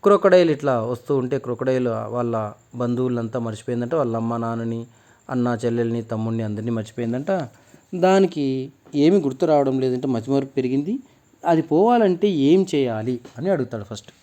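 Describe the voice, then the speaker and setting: A man's voice: medium pitch, a little fast, dark tone. A man telling a children's moral story informally, explaining as he goes and mixing in English words. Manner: conversational, explanatory, mixes in many English words.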